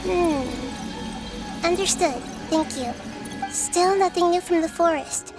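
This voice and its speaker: A woman voicing a gentle, thoughtful young girl deity in a video game, in a soft, girlish voice. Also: very high voice, slow, over music. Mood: pensive. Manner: gentle, considered. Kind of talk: video game character acting.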